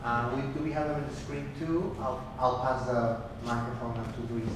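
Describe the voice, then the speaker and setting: A man emceeing a live event, thanking panelists in a hesitant way with many pauses. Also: medium pitch, little pitch movement. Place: large room at a live event. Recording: echoey hall, speaking from far off, noisy.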